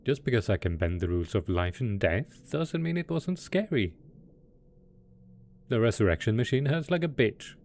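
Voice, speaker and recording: A man's voice: mid-range voice, very animated, normal speed, darkish tone. A man voicing a melodramatic, possessive mad scientist supervillain boss in a roleplay audio drama, smug and coaxing in manner. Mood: indignant, defensive. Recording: faint background noise.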